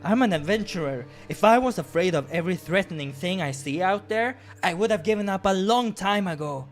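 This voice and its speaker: A man's voice: animated, brisk. A man playing a young, budding adventurer in a visual novel, nervous yet plucky, with a flustered and earnest manner. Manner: flustered, earnest, higher-voiced. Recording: music behind the voice.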